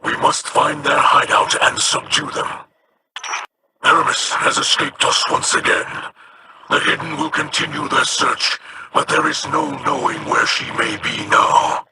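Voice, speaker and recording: An actor playing a stern, solemn old alien warrior captain who speaks formally and gives commands in a video game. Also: male voice, a little high, unhurried, very noisy, close to an everyday voice. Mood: grave. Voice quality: gravelly, formal.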